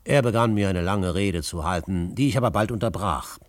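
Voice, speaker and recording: An actor playing a calm, commanding frontier adventurer in a German radio drama, measured and authoritative. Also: male voice, deep voice, no echo, natural voice. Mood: measured narrating.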